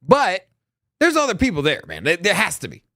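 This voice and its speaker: A man co-hosts a casual crypto live stream and speaks in a high voice that swings widely. He rambles, restarts his sentences and keeps pushing his point. The sound is dry and close. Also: a little fast, no room sound. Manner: animated, rambling, many restarts. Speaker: co-host of a crypto news show.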